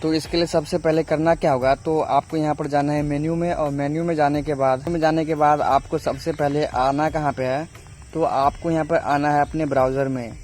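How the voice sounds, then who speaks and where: A man's voice: on the high side, fairly even intonation, a little fast, darkish tone, slight background noise. A man hosts a step-by-step phone tutorial in a repetitive, instructional way with frequent check-in tags. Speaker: tech tutorial host.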